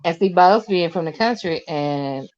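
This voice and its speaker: A woman in her late forties with a Southern drawl hosts a relaxed online chat. Her voice rises and falls freely, she talks in a homey, folksy way, and the audio sounds slightly dull and lo-fi. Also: fairly low pitch, normal speed. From North Carolina, US. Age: late 40s. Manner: folksy, calls people honey and sweetie. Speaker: host.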